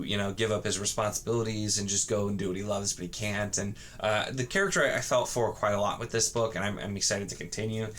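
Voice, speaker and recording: A man chatting casually to camera as a book vlogger, in a halting, rambling way with frequent false starts. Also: on the low side, fairly bright tone. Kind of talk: casual chat to camera about books.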